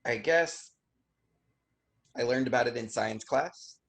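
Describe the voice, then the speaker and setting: A man's voice: medium pitch, slow. A young man playing a casual, unsure college student in a staged play reading. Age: young adult. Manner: hesitant, casual, filler-heavy.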